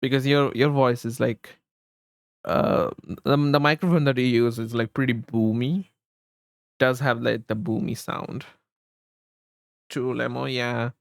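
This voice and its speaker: Guy chatting on stream, slow n halting, repeats himself, close dry mic. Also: medium pitch, fairly even intonation, creaky, no room sound. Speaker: live streamer and host. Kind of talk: casual livestream chat with viewers. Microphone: close streaming microphone.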